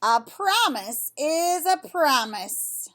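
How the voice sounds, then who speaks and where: A woman's voice: high voice, sing-song, extremely slow, fairly bright tone, full character voice. A woman voicing a big, genial male moose who is eager, polite and hearty in a read-aloud storybook. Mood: politely insistent. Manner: hearty, calling out.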